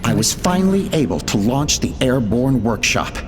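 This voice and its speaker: A man's voice: mid-range voice, sing-song, normal speed, natural voice. A man as a driven inventor, heavily reverberant. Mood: proud. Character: driven, ambitious male inventor dictating research logs. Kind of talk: video game voice-over, dramatic log readings. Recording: long reverb, some background noise.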